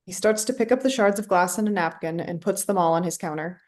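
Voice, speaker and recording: A woman's voice: on the low side, fast talker, a little mellow. A woman reading scripted stage directions as narrator for a play reading.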